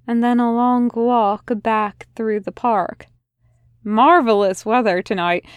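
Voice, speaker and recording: Woman narrator for a fan audiobook; slow, gentle delivery, fairly high voice. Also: clear, resonant tone, no room sound, narrow, tinny audio. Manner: slow, soft, unhurried reading. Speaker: podfic reader.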